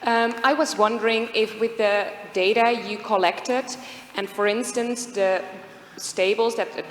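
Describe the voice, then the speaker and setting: A woman in the audience politely asking a speaker a question, rephrasing it when asked. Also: medium pitch. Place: lecture hall. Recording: echoey hall, faint room noise.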